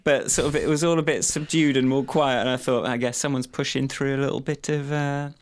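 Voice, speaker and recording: A man co-hosting a casual podcast, chatting in a loose, rambling way and often restarting his thoughts. His pitch sits in the everyday middle for a man. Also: fairly even intonation, a little fast, slight background noise. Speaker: podcast co-host. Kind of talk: casual chat.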